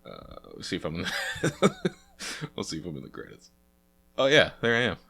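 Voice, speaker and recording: A man's voice: deep voice, wildly swooping pitch, normal speed, vocal fry, bright, forceful tone, husky. A young man with a stuffy, sniffly nose from a cold, casually commenting while playing through games as host and rambling with many fillers. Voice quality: sniffly, congested. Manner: chatty, rambling, lots of uh fillers. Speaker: let's play host. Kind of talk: casual game playthrough commentary.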